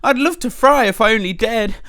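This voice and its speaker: Woman acting a high, timid, panicky storybook cook man. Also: fairly quick, a bit bright, close to an everyday voice. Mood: panicky, scared. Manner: fretful, wailing delivery with repeated pleas. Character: timid, wimpy, panicky male cook scared of everything. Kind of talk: children's storybook character voice.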